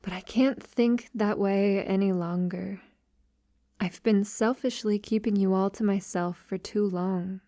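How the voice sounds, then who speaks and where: Woman acting a soft, mellow vampire lover. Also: medium pitch, steady tone, dry recording, studio quality, natural voice. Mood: sorrowful, resigned. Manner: soft, slow, breathy delivery slipping into whispers. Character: tender, devoted female vampire lover, refined and possessive. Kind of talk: ASMR romantic audio roleplay.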